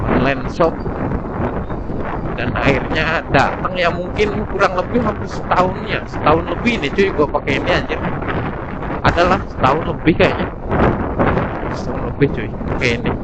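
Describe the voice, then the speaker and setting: A man's voice: a little high, heavy background noise. A man chats in a casual, slangy, rambling way as a motorcycle vlogger, recorded outdoors through a helmet intercom mic while riding. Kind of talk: casual motovlog chat, product review. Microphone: intercom camera mic inside full-face helmet.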